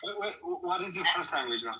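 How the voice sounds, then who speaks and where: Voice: medium pitch, expressive, quick pace, sharp tone. A speaker on a group call who keeps asking others questions in a casual chat. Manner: inquisitive, asks many questions.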